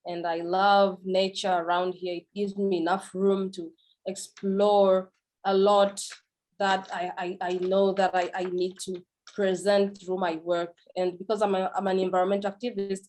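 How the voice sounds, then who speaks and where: Woman, mid-pitched, casual and halting self-introduction. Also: little pitch movement, a little mellow. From Tanzania. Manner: hesitant, repeating words as she speaks. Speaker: visual artist and environmental activist.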